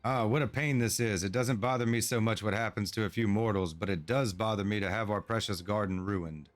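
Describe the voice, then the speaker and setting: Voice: medium pitch, a little fast, close to an everyday voice. An actor voicing a haughty fae guardian. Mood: concerned. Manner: aloof and theatrical. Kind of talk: game cutscene voice acting.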